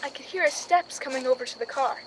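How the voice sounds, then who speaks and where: A woman's voice: sits high, fast talker, background noise, lightly acted. An actress playing a film stand-in who narrates her own crime story in a suspense radio drama, speaking in a dramatic first person. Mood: tense, recounting.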